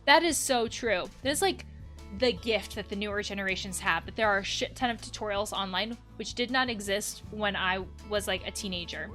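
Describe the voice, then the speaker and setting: A woman's voice: mid-range voice, fairly quick, fairly bright tone. A young adult woman hosting a casual game let's play, reading on-screen text aloud and adding reflective, conversational asides. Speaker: let's play host, counselor. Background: background music.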